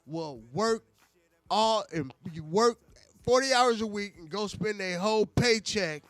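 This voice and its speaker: A man's voice: sits high, expressive, measured pace, a bit bright, no room sound. A man hosting a casual radio talk show, slangy and emphatic, often repeating his phrases.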